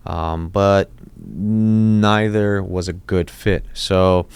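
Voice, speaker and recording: A man's voice: sits low, steady tone, extremely slow, darkish tone, clean tone, faint music behind. A dentist in his thirties, born in Iraq and raised in Los Angeles, telling his story in a casual interview, with many restarts and fillers. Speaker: dentist, podcast guest.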